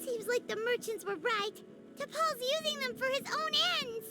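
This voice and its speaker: Shrill excitable little companion. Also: female voice, over loud music. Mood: excited. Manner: chattering, quick questions. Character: tiny floating female companion, excitable and curious. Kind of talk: video game dialogue.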